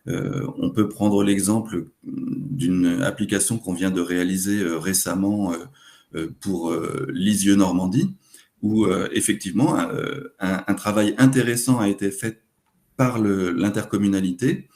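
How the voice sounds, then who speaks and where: Man, low-pitched, webinar presenter, explanatory, halting phrasing. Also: creaky, a little mellow.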